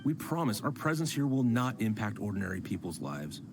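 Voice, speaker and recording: A man's voice: lowish voice, sing-song, brisk, music in the background. An actor voicing a polite but firm soldier in a video game, formal and dutiful in his courtesy. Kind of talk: game voice acting.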